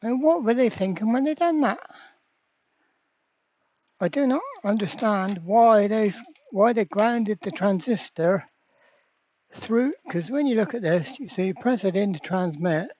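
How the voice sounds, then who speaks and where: A repair channel host giving a casual hands-on demonstration at a workbench, halting and thinking aloud while working. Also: mid-range voice, animated, a little mellow, lo-fi sound. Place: indoor workbench.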